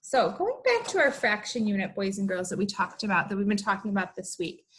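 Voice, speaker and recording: A woman teacher reading aloud and explaining things to young children, with expressive, deliberate emphasis. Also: medium pitch, slight background noise. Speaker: elementary school teacher.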